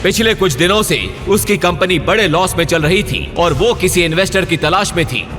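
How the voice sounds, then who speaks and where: A man's voice: high voice, normal speed, a bit bright, in a large hall. A man giving dramatic scripted narration of an audio story, with music behind him.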